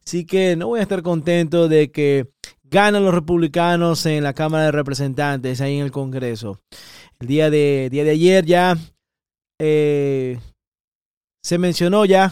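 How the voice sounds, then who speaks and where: A middle-aged man from Ecuador with an Ecuadorian Spanish accent, hosting an opinionated political podcast in an emphatic, repetitive way with sudden high stresses. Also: a little mellow, clear, resonant tone, no room sound, studio quality. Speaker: podcast host.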